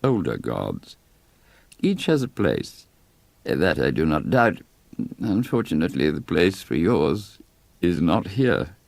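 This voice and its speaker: Man voicing a very deep, sinister village elder. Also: sing-song, creaky, no room sound, exaggerated voice. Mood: coldly polite. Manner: measured, formal, quietly menacing. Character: tall cadaverous village mayor, undertaker-like, coldly polite, pagan.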